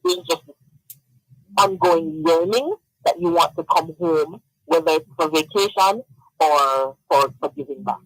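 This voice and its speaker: A man from Jamaica, a guest in a casual radio interview, who talks animatedly, laughs often and repeats words when excited. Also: a little high, a little slow, dry recording, rough recording.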